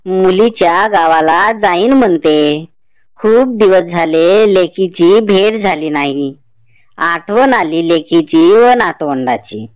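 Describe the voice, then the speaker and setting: A kindly old village grandmother, acted out by a woman for a cartoon folk tale. She speaks very slowly, stretching her words in a coaxing, shrewd way. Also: on the low side, dry recording. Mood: fond, homely. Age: older. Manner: slow, drawn-out, coaxing.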